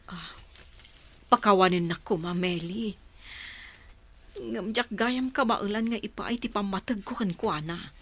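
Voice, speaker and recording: A woman's voice: medium pitch, measured pace, pauses often, a bit bright, husky. A woman with an Ilocano accent playing a guilty, evasive woman in a radio drama, hesitant and dramatic. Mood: nervous, guilty. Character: guilt-ridden, evasive woman hiding a wrongdoing. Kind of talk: Filipino radio drama acting.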